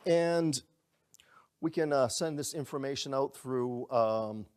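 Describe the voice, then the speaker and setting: Upbeat man giving a sales pitch. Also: slow, darkish tone, clean tone. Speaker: company presenter. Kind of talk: live sales presentation. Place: presenting in a room to a group.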